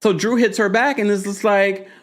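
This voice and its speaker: A man hosting a casual live recap of a reality show, gossipy and animated, with quick asides to his chat. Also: high-pitched, very noisy.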